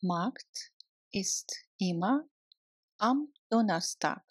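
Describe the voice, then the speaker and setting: A woman's voice: medium pitch, animated, very slow, breathy edge, no echo, studio quality. A woman language teacher drilling short phrases, saying each one, breaking it into chunks, then repeating it. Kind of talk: slow phrase drill with translations.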